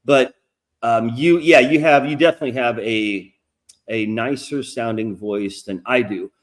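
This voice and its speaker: A middle-aged man with a nasal voice hosting a casual livestream interview, rambling and swearing freely as he gets animated. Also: mid-range voice, a little slow. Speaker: podcast host.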